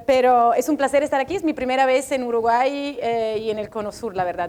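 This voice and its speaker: A woman from Italy speaking Spanish with an Italian accent, presenting a report at a public event and often pausing to search for words. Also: a little high, darkish tone, a little hiss or hum. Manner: hesitant, searching for words, light self-deprecating laughs. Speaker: World Bank economist presenting a report.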